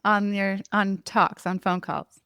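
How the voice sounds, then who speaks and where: A woman hosts a casual interview and keeps it moving with quick questions. Her voice has a gravelly fry and sounds dry and close. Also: mid-range voice, flat delivery, fairly bright tone, dry recording. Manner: responsive, short affirmations and questions.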